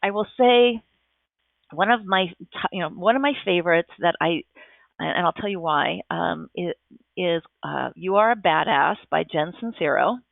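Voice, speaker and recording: A woman's voice: fairly low pitch, normal speed, gravelly, dry recording. A woman speaking Japanese as her first language, a podcast guest giving thoughtful, enthusiastic answers with frequent restarts, heard over a tinny line. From United States. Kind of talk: casual interview answers.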